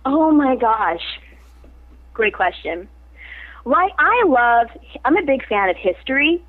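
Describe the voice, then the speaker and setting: Chatty actress woman, kinda high voice, laughs a lot, sounds like it's over a phone. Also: takes it slowly. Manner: animated, laughs often while talking. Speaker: actress being interviewed. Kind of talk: casual interview chat. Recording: tinny phone-like line.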